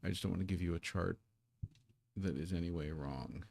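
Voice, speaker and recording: Deep gravelly man teaching live. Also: brisk, darkish tone, no echo. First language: English. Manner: casual, self-deprecating, repeats words while setting up. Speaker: Korean language teacher. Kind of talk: live-streamed language lesson.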